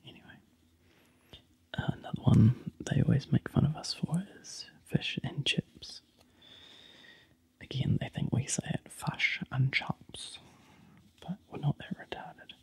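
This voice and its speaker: A man with a New Zealand accent, whispering softly in ASMR style with frequent ums. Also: medium pitch, measured pace, vocal fry, pauses often, breathy edge.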